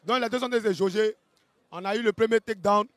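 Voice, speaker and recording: A man with an Ivorian accent giving colloquial live fight commentary, excitable, repeating phrases and adding tag questions. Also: sits high, a little fast, no echo. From Ivory Coast.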